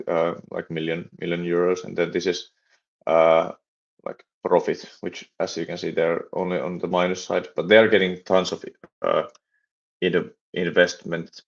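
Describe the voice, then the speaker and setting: Gravelly low-voiced Finnish man. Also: lo-fi sound. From Finland. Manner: halting, many restarts and fillers. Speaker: livestreaming startup developer. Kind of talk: casual live stream chat.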